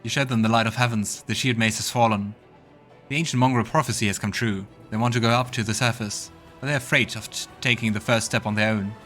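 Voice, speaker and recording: A man's voice: on the low side, fairly even intonation, fast talker, a bit bright, faint music behind, close to an everyday voice. A man voicing a resolute, serious male companion fighter with earnest delivery in a game. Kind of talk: voiced game dialogue.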